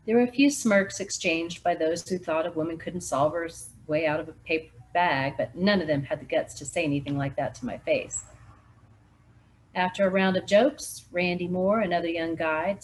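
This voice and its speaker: A woman volunteer reader narrating a mystery novel aloud in a steady first-person voice. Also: fairly low pitch, slight background noise, low-quality recording.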